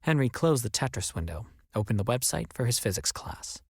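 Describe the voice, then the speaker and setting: A man reading as an audiobook narrator, in an expressive scripted storytelling style. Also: medium pitch, fairly quick, husky, no room sound, studio quality.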